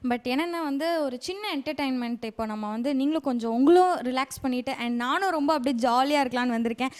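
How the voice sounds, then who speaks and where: Female entertainer, high pitch, rapid casual chat with English mixed in.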